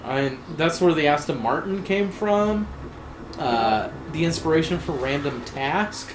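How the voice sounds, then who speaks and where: A man's voice: fairly high pitch, unhurried, roomy sound, background noise. A man co-hosting a let's play, chatting casually and joking with lots of fillers while game music plays. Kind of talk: casual gameplay commentary.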